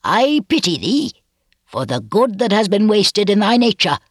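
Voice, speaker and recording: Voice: medium pitch, sing-song, unhurried, breathy edge, dry recording, studio quality, pitched above the performer's own voice, heavily acted. A reader voicing a bitter, gloomy, vengeful old male physician with grave, archaic, rhetorical speech in a storybook reading. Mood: pitying.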